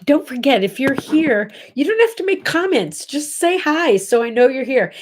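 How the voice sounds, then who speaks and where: A woman's voice: high-pitched, sing-song, moderate pace, a little mellow, a little hiss or hum. Woman host, stuffy nasal voice, middle-aged, chatty, scattered, home webcam. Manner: chatty, scattered, addresses viewers directly. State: congested, nasal. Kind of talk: casual morning livestream chat. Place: home bedroom.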